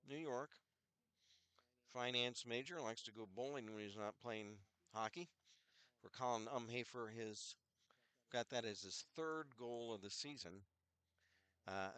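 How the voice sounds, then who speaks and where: Older male hockey announcer, head cold, rambling asides, smooth dry voice. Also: mid-range voice, sharp tone, dry recording. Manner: rambling, chatty, self-deprecating asides. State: head cold with scratchy throat. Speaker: play-by-play announcer. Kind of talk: casual hockey broadcast commentary.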